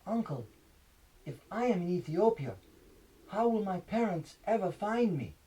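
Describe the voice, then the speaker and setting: A man's voice: high-pitched, big pitch swings, moderate pace, warm tone, husky, voice pushed up, full character voice, rough recording. A man voicing an anxious young refugee boy in an audiobook, plaintive, with a South Sudanese Dinka accent. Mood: worried. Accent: South Sudanese, Dinka (coached). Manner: plaintive questioning.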